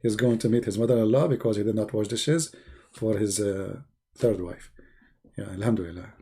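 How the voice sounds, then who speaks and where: Male live stream host, mellow dark tone, gravelly rasp, sarcastic, repetitive, off-the-cuff. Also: fairly low pitch, moderate pace. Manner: teasing, sarcastic, repeats phrases. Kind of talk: rambling religious debate live stream chat.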